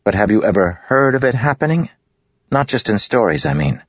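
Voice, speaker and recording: A man's voice: on the low side, big pitch swings, fairly quick, breathy edge, no echo, pitched above the performer's own voice. A man reading aloud, voicing a tall, spindly, impatient boy who keeps pressing with questions. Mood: eager. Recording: dull lo-fi recording.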